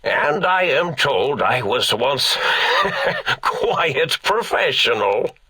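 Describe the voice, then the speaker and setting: An actor voicing an eccentric, absent-minded old mad scientist in a video game, with a theatrical delivery. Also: male voice, fairly high pitch, wildly swooping pitch, drawn-out words, sharp tone, husky, dry recording, heavily acted. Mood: dotty, amused. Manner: rambling, stammering, theatrical self-corrections.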